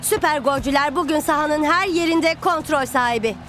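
A woman playing an upbeat soccer match commentator in an animated cartoon dub. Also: sits high, moderate pace, faint music behind. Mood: brisk commentary.

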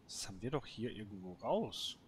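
A man's voice: lowish voice, animated, moderate pace. Man muttering game commentary over noisy ambience. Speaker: gaming video host. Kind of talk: casual solo let's play commentary.

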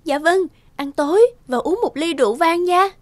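A woman's voice: very high-pitched, normal speed, no echo. A woman voicing a sweet, hopeful young country woman in a cartoon drama. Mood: cheerful, hopeful.

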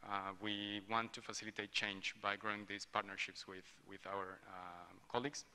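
A man from Mexico in his forties, pausing often with ums as he presents at a roundtable. His voice is bright and tense, and somewhat low. The conference table mic sits in a big hall with a long reverb tail. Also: flat delivery, clean tone. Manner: hesitant, many ums and restarts. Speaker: conference speaker, industrial designer. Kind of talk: panel presentation. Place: large hotel ballroom roundtable. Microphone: table gooseneck mic.

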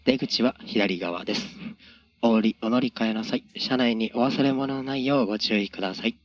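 A man's voice: mid-range voice, moderate pace, no echo. A man working as a train conductor, giving formal, routine announcements in set phrases over the train's address system. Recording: dull lo-fi train PA.

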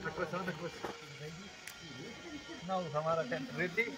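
A young man vlogging outdoors in a pine park, chatting and giving quick instructions while setting up a tent. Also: a little high, little pitch movement, loud music behind the voice, low-quality recording. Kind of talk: casual chat while pitching a tent.

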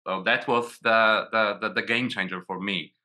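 Bright-voiced Polish man on a video call. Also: fairly low pitch, fairly even intonation, normal speed. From Poland. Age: 40s. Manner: hesitant, many restarts and repeated words. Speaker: guest. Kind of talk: casual video call interview.

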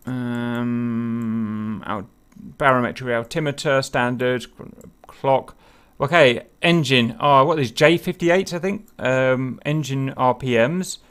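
A man's voice: medium pitch, slow, fairly bright tone, smooth. A man leads a loose group discussion over voice chat. He thinks aloud, trailing off into fillers and asking the others what things are. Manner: thinks aloud, many fillers and wondering questions. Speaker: host leading a group discussion. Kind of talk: casual group chat over a cockpit picture.

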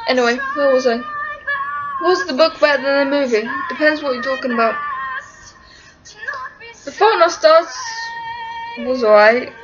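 A young English woman with a bright, high voice chats to her webcam, giggly and easily sidetracked. Her Liverpool roots barely show in her accent. The sound is dull and lo-fi, as from a cheap built-in camera mic in a small room. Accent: English, little Liverpool. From England, born in Liverpool. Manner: giggly, scatterbrained, talks to her cat in baby talk. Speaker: vlogger answering viewer questions. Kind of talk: casual webcam Q&A chat. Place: small indoor room, seated against a wall. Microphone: webcam at arm's length.

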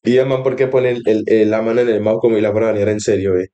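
A man's voice: fairly low pitch, steady tone, very fast, dark tone, muffled recording, slight background noise. A young man with a Colombian accent, reacting casually in slangy streamer commentary. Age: young adult. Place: bedroom.

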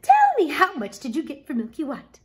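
A scolding country mother from a storybook , played by a woman narrator. She is very high and shrill, with big swoops in pitch. Her manner is exasperated and theatrical. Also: big pitch swings, fairly quick, a little mellow. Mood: excited. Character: scolding, excitable mother of a poor country boy.

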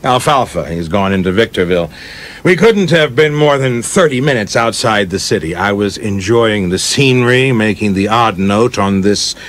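An actor playing a flamboyant young film director in a radio play, theatrical, florid and teasing, with a husky voice. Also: male voice, on the low side, animated, moderate pace, a bit bright. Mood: breezy, boastful. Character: flamboyant, grandiose young film director spinning tall tales.

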